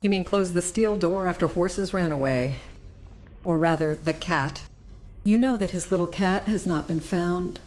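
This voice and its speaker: A woman's voice: on the low side, measured pace, darkish tone, a little hiss or hum, lightly acted, rough recording. An actress playing an embittered, combative female social worker in a radio drama, indignant and sarcastic.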